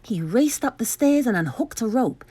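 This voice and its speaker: Animated woman reading a children's story. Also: on the high side, normal speed, husky, faint music behind. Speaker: storybook reader. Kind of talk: expressive scripted read-aloud for children.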